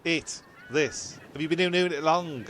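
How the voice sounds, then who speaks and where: A man's voice: a little high, a little slow, fairly bright tone, a little hiss or hum. A man playing a grumpy, flustered castaway in an improvised comedy skit, rambling and swearing as he stumbles over his words. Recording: amateur audio with music behind.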